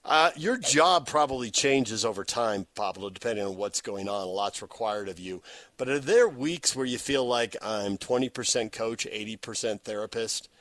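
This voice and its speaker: A man's voice: fairly low pitch, moderate pace, a bit bright, dry recording. Conversational male sports radio host, close dry mic. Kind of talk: sports talk interview.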